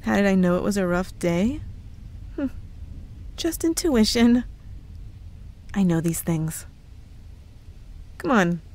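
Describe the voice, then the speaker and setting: A woman's voice: medium pitch, a little mellow. A woman playing a cheerful, evasive young girlfriend who is secretly a witch, in a playful ASMR roleplay with feigned innocence. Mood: casual, evasive. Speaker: audio roleplay voice actress. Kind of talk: ASMR roleplay, scripted one-sided dialogue.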